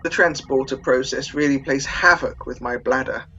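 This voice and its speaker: A man's voice: mid-range voice, normal speed, bright, forceful tone, dull audio. A man playing a meek, polite elderly medic in a comic radio play, genteel, fussy and apologetic.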